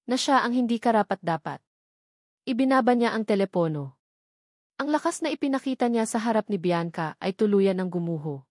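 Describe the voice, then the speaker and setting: A woman's voice: mid-range voice, animated, brisk, darkish tone, dry recording, studio quality. A woman with a Filipino accent reading a scripted romantic story as a dramatic audiobook narrator.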